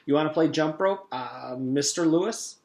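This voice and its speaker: A young schoolgirl from a children's storybook, acted out by a man reading aloud. She is excitable, wary and expressive, raised in pitch in an echoey room. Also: a little slow, gravelly.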